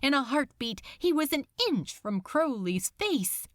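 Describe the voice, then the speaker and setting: Slow, expressive woman narrator, very dry sound. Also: high-pitched, dry recording. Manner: expressive, suspenseful, deliberate. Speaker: fan fiction narrator. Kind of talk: dramatic scripted narration.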